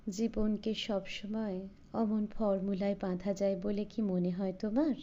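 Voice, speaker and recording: A woman voicing a reserved, sceptical bride in a story, soft and hesitant, shy and quietly wry. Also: medium pitch, level tone, a little slow, muffled recording, close to an everyday voice. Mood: wry doubt. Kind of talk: storybook character voice.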